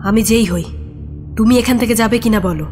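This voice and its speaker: A woman's voice: a little high, moderate pace, a little mellow, lightly acted. An actress playing a dead mother's ghost in an audio horror story, protective, resolute and commanding. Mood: stern, insistent. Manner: insistent, commanding. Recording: music behind the voice.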